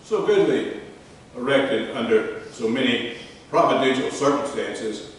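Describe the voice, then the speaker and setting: Male reader as grave, courteous statesman, measured formal letter delivery, stage reading; distant, reverberant hall. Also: medium pitch, close to an everyday voice. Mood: grave, earnest. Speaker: amateur actor in a dramatic reading. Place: museum room, live community theatre event. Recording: echoey hall from far off, faint noise.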